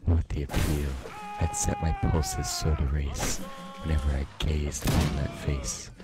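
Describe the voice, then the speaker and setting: A man reading a romantic poem aloud in a slow, theatrical, dramatic way. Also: very deep voice, little pitch movement. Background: music.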